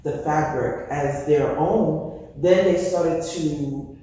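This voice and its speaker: Mellow woman designer in echoey hall. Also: low voice, slow pace. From New York, Bronx. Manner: animated, many "you know" fillers. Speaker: fashion designer, guest. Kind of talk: casual interview, storytelling. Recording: echoey hall from far off, faint noise.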